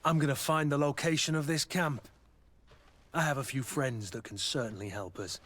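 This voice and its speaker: An actor playing a gruff, loyal mercenary master of arms in a video game, brooding, plain-spoken and sardonic. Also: male voice, medium pitch, steady tone, normal speed, fairly bright tone, close to an everyday voice. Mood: grimly determined. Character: gruff, battle-worn male mercenary master of arms, guilt-ridden, loyal. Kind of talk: video game dialogue acting.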